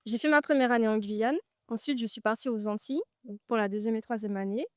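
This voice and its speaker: A woman in her twenties from French Guiana, a guest speaking in a relaxed, hesitant way with frequent fillers, outdoors on a trail. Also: a little high, quick pace, no echo, studio quality. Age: 20s. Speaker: guest, medical student. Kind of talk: casual interview.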